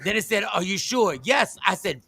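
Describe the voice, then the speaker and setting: Loud comic host type: high, gravelly, bright voice, rants in repeated bursts. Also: male voice, normal speed, a loud crowd. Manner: animated, loud, repeats lines for comic effect. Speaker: radio show host. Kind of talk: loud joking banter.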